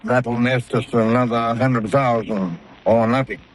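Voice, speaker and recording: An actor playing a gruff smuggler ship captain in a 1930s radio drama. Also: male voice, medium pitch, fairly even intonation, a little slow, lightly acted. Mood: blustering. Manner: gruff, blustering. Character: hard-bitten male smuggler ship captain. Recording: faint hiss of old radio broadcast.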